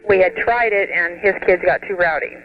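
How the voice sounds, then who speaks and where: A woman's voice: lowish voice, a little fast, crowd noise. American female radio caller, earnest and explanatory, muffled telephone sound. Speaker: caller, home daycare operator. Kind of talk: casual phone-in explanation. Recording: muffled phone line on radio.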